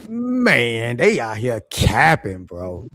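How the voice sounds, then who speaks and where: Raspy middle-aged man, casual. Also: wildly swooping pitch, takes it slowly, bright, forceful tone, no echo. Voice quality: deep raspy voice. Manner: laid-back, repeats phrases, slangy. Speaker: guest living abroad. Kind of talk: casual chat.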